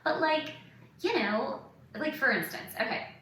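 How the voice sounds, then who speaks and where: A very echoey, slightly distant recording of a woman with a bright, forward voice. She talks as a podcast host in a candid, confessional way, stressing her points and sprinkling "like" through casual sentences. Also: sits high, expressive, a little slow. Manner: candid, emphatic, peppers speech with "like". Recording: roomy echo, distant mic, faint noise.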